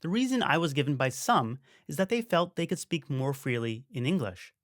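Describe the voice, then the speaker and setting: A man narrating a documentary in an even, explanatory way. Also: a little high, moderate pace. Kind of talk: scripted narration.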